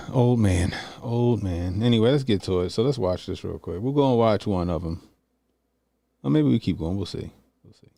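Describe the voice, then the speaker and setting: A man's voice: on the low side, lively intonation, moderate pace, gravelly, long pauses, mellow, dry recording. A man, chatting loosely and profanely while reacting to a video. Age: 40s. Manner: loose, profane, rambling with slang. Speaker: commentary streamer. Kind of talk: casual reaction stream.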